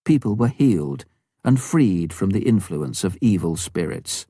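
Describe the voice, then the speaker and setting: Low-voiced man narrating, close dry sound. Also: expressive, measured pace, dark tone, breathy edge, no room sound. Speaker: audiobook narrator. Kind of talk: scripted narration of a missionary story.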